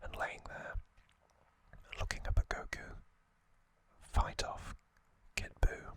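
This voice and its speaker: A man hosting ASMR in a breathy whisper, gently recapping trivia in short, halting phrases. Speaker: ASMR host.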